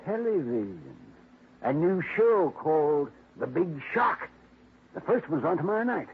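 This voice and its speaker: An actor playing a gruff, quick-tempered older man who owns a prized dog, in 1950s radio drama style, heard through a tinny old broadcast. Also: fairly high pitch, very animated, slight background noise. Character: blustering older man, rich dog owner.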